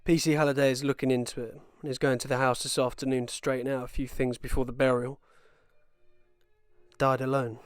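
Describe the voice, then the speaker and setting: An actor playing a sober, methodical British police detective in a naturalistic radio play. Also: male voice, medium pitch, little pitch movement, clean tone, no room sound, clean studio sound, faint music behind, lightly acted. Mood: pondering. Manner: measured, procedural.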